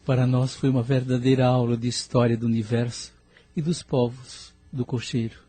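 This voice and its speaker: A man's voice: mid-range voice, level tone, moderate pace, warm tone, slightly rough, dry recording, natural voice. A man with a Brazilian accent playing an earnest, compassionate scholarly spirit in an audio drama, formal and reflective.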